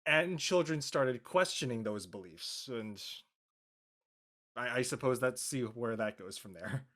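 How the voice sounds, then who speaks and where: A man, an actor on a live show, talking casually about his character and haltingly searching for his words. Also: medium pitch, lively intonation, fairly bright tone. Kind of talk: introducing his character, casual panel talk.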